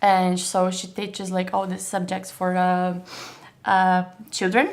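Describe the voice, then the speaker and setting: A young woman from Brazil speaks English with a Brazilian Portuguese accent as a guest in a relaxed interview. She hesitates often, fills gaps with little words and laughs easily. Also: fairly low pitch, slow pace. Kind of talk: casual interview chat.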